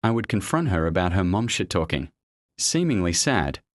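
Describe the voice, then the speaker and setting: Low-voiced man narrating a story. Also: sing-song, moderate pace, dry recording. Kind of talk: scripted narration of a first-person online story.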